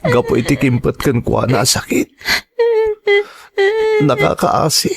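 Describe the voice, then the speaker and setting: A man's voice: mid-range voice, huge pitch swings, slow, gravelly, full character voice. A man playing a tender, grieving father who consoles his young daughter in an emotive, sorrowful audio drama performance. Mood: tearful, tender.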